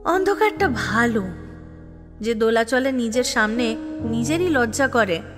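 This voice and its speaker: High, animated, scheming young woman in love, acted by a woman. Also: measured pace. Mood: brooding, resolute. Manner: expressive, exasperated, confiding. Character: scheming, proud, restless young woman in love, narrating her story. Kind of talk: dramatic first-person audio story narration. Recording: long reverb, music behind. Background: music.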